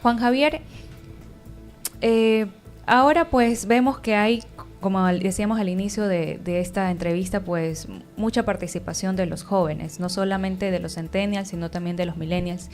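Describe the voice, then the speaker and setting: Female radio news interviewer, mid pitch, measured questions, faint music behind. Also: darkish tone.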